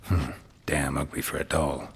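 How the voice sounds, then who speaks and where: An actor playing a grizzled male monster hunter in a video game, speaking in a gravelly, terse, dry way. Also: a bass voice, flat delivery, normal speed, long reverb tail, a little hiss or hum. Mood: wry.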